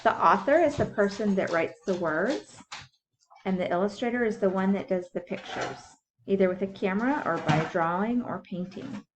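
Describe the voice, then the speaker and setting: A woman's voice: mid-range voice, expressive, normal speed, noisy background. A woman teacher reading a science book aloud for young children, explaining things simply and putting questions to her listeners. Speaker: school teacher.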